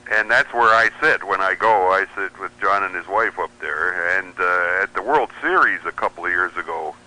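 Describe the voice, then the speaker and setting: Bright, forceful-voiced American man telling anecdotes. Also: deep voice, normal speed, a little hiss or hum, poor audio. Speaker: guest, shortwave radio hobbyist. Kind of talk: casual anecdotal storytelling.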